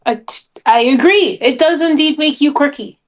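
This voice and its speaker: A woman's voice: high voice, normal speed. A woman chatting casually and roleplaying as a player in a tabletop game.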